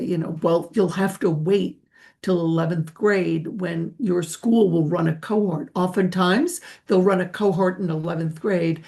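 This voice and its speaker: A woman's voice: on the low side, a little mellow. A woman giving a webinar over a video call, patiently explaining testing procedures and answering questions. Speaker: language testing presenter.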